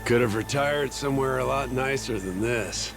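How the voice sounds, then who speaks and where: An actor playing a gruff, loyal sea captain, tough and blunt but caring, in a dramatic game cutscene. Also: male voice, medium pitch, moderate pace, natural voice. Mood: uneasy. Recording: music under the voice.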